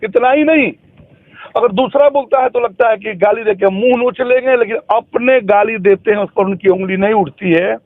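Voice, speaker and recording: A man's voice: high voice, normal speed, no echo. A man interviewing someone over the phone, earnest and opinionated, putting pointed questions and views in conversation. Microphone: telephone. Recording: tinny narrowband phone call recording.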